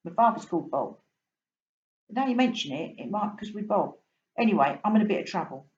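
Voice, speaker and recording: A woman voicing a chatty male fish with a London Cockney accent, loud and folksy, in a roomy, slightly dull home recording. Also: mid-range voice, lively intonation, brisk, voice pushed up, lightly acted.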